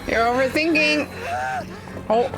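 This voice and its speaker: A woman's voice: high voice, takes it slowly, loud music behind the voice, low-quality recording. A woman reacting casually to a video game in short, giggly interjections and murmured comments. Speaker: gaming reactor.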